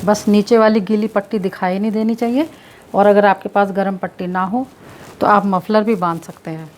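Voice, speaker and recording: A woman presenting health tips, calmly explaining a home remedy step by step while showing how it's done, repeating points as she goes. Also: mid-range voice, moderate pace, slight background noise. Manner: explains with repetition while demonstrating. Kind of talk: demonstration lesson.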